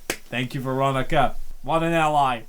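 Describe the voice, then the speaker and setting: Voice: medium pitch, normal speed, fairly bright tone, clean tone. A co-host making wry, joking comments while playing through a visual novel. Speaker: playthrough co-host. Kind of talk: casual game commentary.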